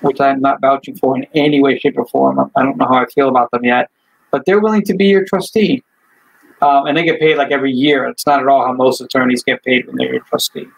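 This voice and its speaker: A man's voice: medium pitch, gravelly, slightly rough, rough recording. A man from Chile, working as an estate attorney, explaining things casually over a video call, thinking aloud and speaking frankly. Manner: thinks aloud, lots of "like" and "you know", frank.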